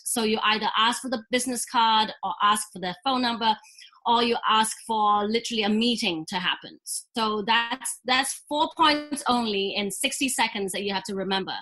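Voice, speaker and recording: Bright, high-voiced woman with slight British accent. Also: normal speed, rough recording. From born in Hong Kong, raised in England. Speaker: guest entrepreneur and business coach. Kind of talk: conversational interview answers with explanatory coaching.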